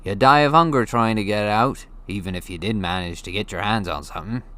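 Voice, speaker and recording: A man reading an audiobook, voicing a gruff, hearty, friendly giant with rough, folksy, blustery speech. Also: fairly low pitch, brisk, a bit bright, no echo, close to an everyday voice. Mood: secretive, emphatic. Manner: folksy, blustery, dropped grammar. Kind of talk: audiobook character voice.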